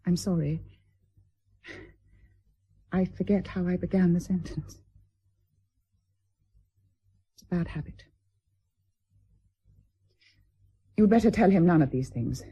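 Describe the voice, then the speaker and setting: Period radio drama: estranged aristocratic wife, British, middle-aged, husky, mellow, formal, proud yet brittle. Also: female voice, fairly low pitch. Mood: pleading, wistful. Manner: formal, measured, hesitant.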